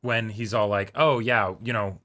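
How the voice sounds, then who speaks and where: Bright-voiced young man. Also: fairly low pitch, fairly even intonation, moderate pace. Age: young adult. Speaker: co-host. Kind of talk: casual anime discussion. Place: small indoor room set up for filming.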